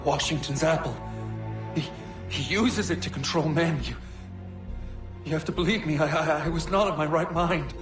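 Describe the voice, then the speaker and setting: Man playing bewildered game officer, desperate, broken stammered phrases, fairly high voice, strong echo. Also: moderate pace, pauses often, over music, lightly acted. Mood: bewildered fear. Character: frightened, bewildered dying officer, pleading. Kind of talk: cinematic video game acting.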